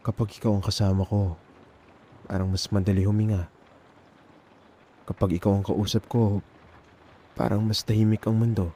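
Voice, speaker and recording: A man with a Filipino accent playing a nervous, tender young man in an intimate ASMR boyfriend roleplay, speaking haltingly and repeating words. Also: on the low side, dark tone, a little hiss or hum, close to an everyday voice. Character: nervous, tender young man confessing love to male best friend. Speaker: ASMR audio roleplay performer.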